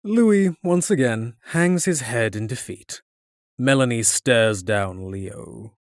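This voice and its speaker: A man's voice: mid-range voice, lively intonation, takes it slowly, dry recording. Male fantasy narrator, dry close sound. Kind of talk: scripted fantasy drama narration.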